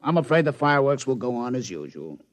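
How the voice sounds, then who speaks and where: Man acting a wisecracking casino owner, American, brash, slangy, lively intonation, close dry sound, classic radio drama. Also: medium pitch, fast, no echo, lightly acted. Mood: matter-of-fact, friendly.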